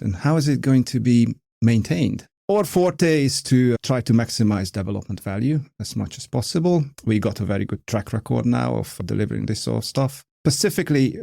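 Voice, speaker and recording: A man in his forties from Hungary with a Hungarian accent, an architect talking through his work as a podcast guest in a measured, explanatory way. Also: fairly low pitch, creaky, dark tone, no echo, studio quality. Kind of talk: casual conversation.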